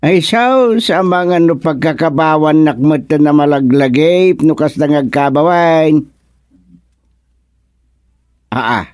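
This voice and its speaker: An actor playing an easygoing older village husband in a comic radio serial, drawling and chuckling with lots of grunts. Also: male voice, a little high, slow, long pauses, a little mellow, dry recording, studio quality. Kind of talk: comic radio drama acting.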